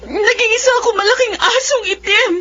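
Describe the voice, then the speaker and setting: A very high, sharp-voiced young Filipino woman, cursed and distraught in a radio horror drama. She is tearful and melodramatic, on a dull recording. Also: fairly quick. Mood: tearful, shaken.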